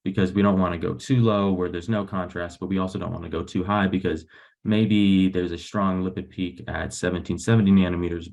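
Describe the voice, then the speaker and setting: A young man, a graduate researcher, giving a technical webinar presentation over a video call in an explanatory, somewhat hesitant way, with a low creaky voice. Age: young adult.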